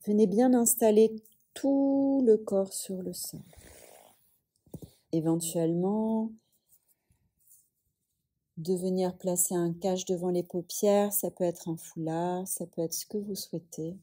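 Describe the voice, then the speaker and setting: A woman yoga teacher leading a guided relaxation in a soothing, unhurried way, leaving long pauses between phrases. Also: lowish voice, mellow, breathy edge.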